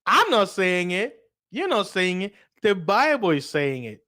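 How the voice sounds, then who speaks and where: A man from Central Africa, a native Lingala speaker, talks to his livestream viewers with a slightly higher pitch than most men. He repeats points over and over, breaks off mid-word to restart, and keeps throwing rhetorical questions at the listener. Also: sing-song, unhurried, sharp tone. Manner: repetitive and emphatic, many restarts and rhetorical questions. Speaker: livestream host. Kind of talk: casual livestream monologue.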